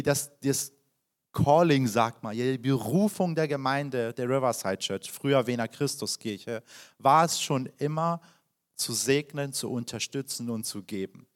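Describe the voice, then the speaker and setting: A man in his thirties who grew up in Iran, speaking from the front of a church as a visiting pastor. He talks at a mid pitch, friendly and personal, often pausing on fillers and restarting his sentences. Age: 30s. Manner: warm, chatty and personal, with frequent fillers and restarts. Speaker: guest pastor. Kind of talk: sermon introduction to a congregation. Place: church hall.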